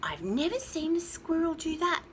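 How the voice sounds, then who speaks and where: Excitable storybook poultry, acted by a woman, high and very animated. The birds are fussy and sceptical, breaking into clucks and crows. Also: moderate pace, a little hiss or hum, heavily acted. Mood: sceptical, clucking. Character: excitable, sceptical barnyard rooster and hen flock.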